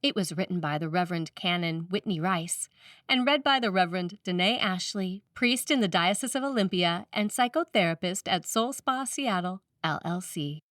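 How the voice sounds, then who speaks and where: A woman's voice: fairly low pitch, expressive, normal speed, a bit bright, no echo, studio quality, far from the mic. A woman priest reading a scripted sermon aloud.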